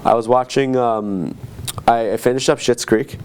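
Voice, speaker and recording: A man co-hosting a podcast, chatting casually about TV in a halting, rambling way. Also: mid-range voice, fairly even intonation, a little hiss or hum. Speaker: podcast co-host. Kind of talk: casual chat about TV shows.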